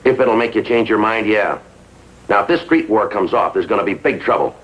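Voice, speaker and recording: An actor playing a stern, no-nonsense American police sergeant, clipped and matter-of-fact, in a 1950s police drama. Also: male voice, lowish voice, fairly quick, fairly bright tone, husky. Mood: stern warning. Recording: dull vintage broadcast, faint music.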